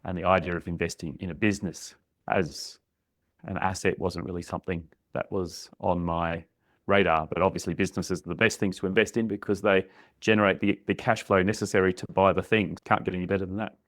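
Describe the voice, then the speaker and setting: Man, very deep voice, relaxed, plain-spoken, interview guest. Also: brisk, no echo, clean studio sound. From Queensland, Australia. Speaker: podcast guest. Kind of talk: casual interview answers.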